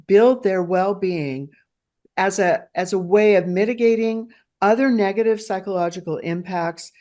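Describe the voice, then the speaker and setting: A middle-aged woman from the United States, a researcher and guest, answering in an earnest, measured, academic way. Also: fairly low pitch, a little mellow. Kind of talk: conversational interview answer.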